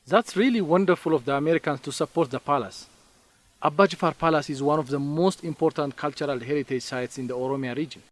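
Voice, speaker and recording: A man playing a warm, helpful young student researcher in an educational radio drama. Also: fairly high pitch, fast talker, close to an everyday voice. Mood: helpful, interested.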